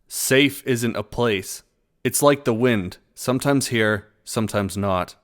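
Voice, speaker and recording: A man playing a clever, sarcastic small prey animal in a fantasy audio drama, with a wry, measured storytelling manner. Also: mid-range voice, lightly acted. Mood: reflective. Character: knowing, sarcastic, sassy small prey animal, world-weary and sharp.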